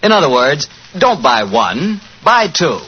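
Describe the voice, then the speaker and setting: A man announcing and hosting in polished 1940s radio style, pitching to the listener. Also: sing-song, measured pace, husky. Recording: noisy, muffled 1940s radio recording.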